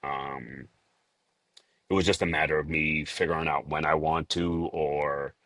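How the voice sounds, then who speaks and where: A man in his forties from Liberia, with a Boston accent, telling his story as an interview guest, halting with many fillers and restarts. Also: very deep voice, steady tone, fairly bright tone, dry recording. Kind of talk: casual interview talk.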